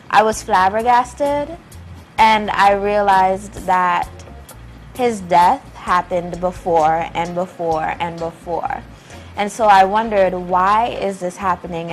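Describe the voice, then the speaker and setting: Deliberate young woman, faint music behind. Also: medium pitch. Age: 20s. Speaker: guest, playwright. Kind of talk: interview answers. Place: small internet show studio.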